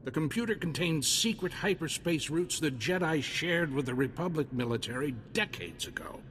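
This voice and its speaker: An actor playing a hearty Republic military officer in a video game, talking in a folksy, emphatic, commanding way. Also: male voice, on the high side, animated, moderate pace, a bit bright. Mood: grave, emphatic. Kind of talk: scripted video game dialogue. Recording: long reverb, faint noise and ambience.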